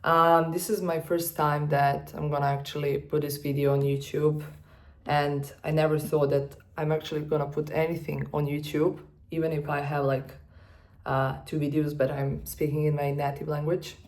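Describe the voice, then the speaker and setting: A woman in her twenties from Serbia with a Serbian accent, speaking English as a second language in an earnest personal vlog, often pausing to find her words. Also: low voice, flat delivery. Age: 20s. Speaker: vlogger. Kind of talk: personal talk to camera.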